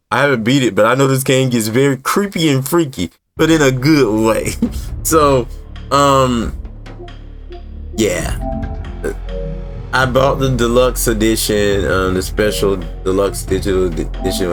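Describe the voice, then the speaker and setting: A man hosting a gaming video with casual, conversational walkthrough commentary, repeating points for emphasis. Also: medium pitch. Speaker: gaming YouTuber, host.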